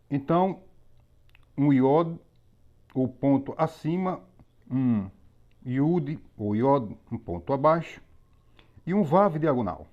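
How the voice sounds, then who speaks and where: A man teaching a religious lesson on Hebrew letters, explaining methodically with pauses and repetition. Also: medium pitch. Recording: slightly dull lo-fi recording.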